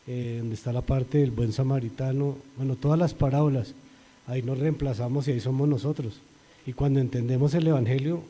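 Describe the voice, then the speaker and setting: A middle-aged Colombian man with a Colombian accent giving an earnest church testimony, his voice rising when he quotes scripture. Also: medium pitch. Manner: earnest, rises and grows louder when quoting scripture. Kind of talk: spoken religious testimony. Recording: long reverberant hall.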